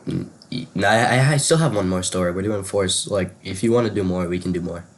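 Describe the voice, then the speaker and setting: A man's voice: deep voice, fast talker. A young man chatting and telling stories casually, in loose slangy speech full of "bro" and "like". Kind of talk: casual spoken storytelling and chat.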